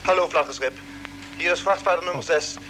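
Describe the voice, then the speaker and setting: A man's voice: a little high, brisk, pushed and bright, soft background music, rough recording. An actor playing a desperate, anguished spaceship crewman recording a message in a vintage radio drama. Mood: desperate, pleading.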